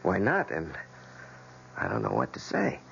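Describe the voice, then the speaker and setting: An actor playing an earnest, devoted, plainspoken husband with a gravelly voice and coaxing manner, in old-time radio drama style. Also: male voice, low-pitched, huge pitch swings, fairly bright tone, exaggerated voice. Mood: puzzled, pressing. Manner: casual, halting, coaxing. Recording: muffled, noisy old radio recording.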